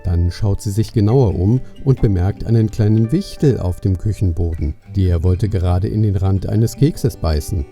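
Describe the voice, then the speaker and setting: A man narrating a scripted children's story in a measured way, speaking directly to the young listener. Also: sits low, gravelly. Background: soft plucked guitar music.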